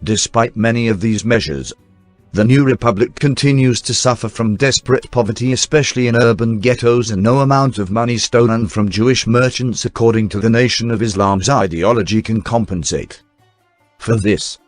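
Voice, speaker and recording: A man reading scripted newsreel documentary narration in a formal, measured announcer style. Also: medium pitch, no echo. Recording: dry voice-over with faint music bed. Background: faint background music.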